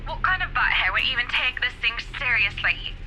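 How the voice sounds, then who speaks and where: An actress playing a mocking teenage prankster in a horror video game. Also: female voice, fairly high pitch, lively intonation, a little fast, lightly acted. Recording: very noisy found-footage camcorder sound.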